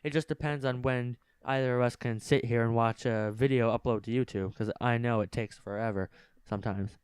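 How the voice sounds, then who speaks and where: A man's voice: mid-range voice, steady tone, brisk, darkish tone, clean tone, no room sound. A young man co-hosting a casual podcast, explaining things plainly into a game controller microphone. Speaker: podcast co-host. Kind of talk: casual improvised podcast chat. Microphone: game controller mic.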